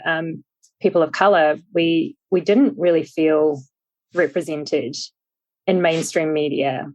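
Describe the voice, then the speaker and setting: Low-voiced New Zealand woman. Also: a little mellow, poor audio. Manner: thoughtful, many ums and restarts. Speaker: podcast guest, startup founder. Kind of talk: casual interview chat.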